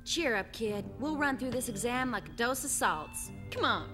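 Woman doing a cheeky mouthy young cadet for an anime dub, swoopy high voice, reverby. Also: lively intonation, moderate pace, over music, close to an everyday voice. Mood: breezy, teasing. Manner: cheeky, slangy, blunt. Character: brash, foul-mouthed, cocky young space cadet. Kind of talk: animated sci-fi dub acting.

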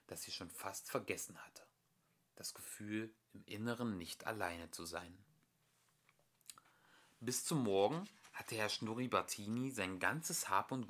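A man's voice: on the low side, fairly bright tone. A man narrating a children's storybook expressively, as an amateur audiobook reader.

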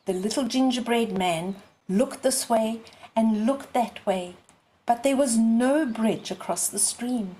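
A woman in her sixties reading aloud expressively for young children, phrase by deliberate phrase. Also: a little high, dark tone, low-quality recording. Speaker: storyteller.

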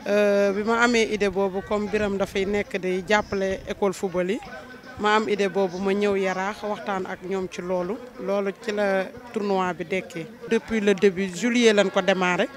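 A woman's voice: medium pitch, flat delivery, normal speed. A Senegalese woman in her thirties gives an on-camera interview as an organiser. She is recorded outside through a reporter's handheld microphone. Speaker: tournament organiser. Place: outdoors on a sandy football pitch.

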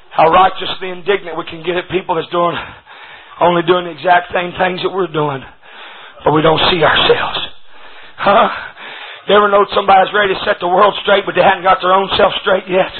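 A man preaching a chanted revival sermon, shouting and putting "uh" between every phrase. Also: sits high, slightly rough. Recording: tinny phone-like, noisy audio.

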